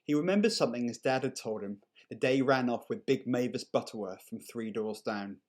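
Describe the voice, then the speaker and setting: A man reading a short story aloud in an unhurried, measured and expressive storytelling manner. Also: mid-range voice. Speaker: story reader. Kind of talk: dramatic short story narration.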